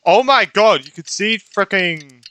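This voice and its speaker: A man's voice: high-pitched, animated, measured pace, pushed and bright. A man giving casual, irreverent gameplay commentary as a streamer, reacting off the cuff. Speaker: gaming streamer.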